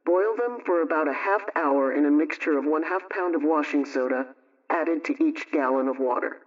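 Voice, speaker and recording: Guy with highish voice reading homemaking tips off a script, measured and instructional, muffled old radio sound. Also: distant mic. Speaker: radio homemaking program presenter. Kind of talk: scripted recipe and household advice reading.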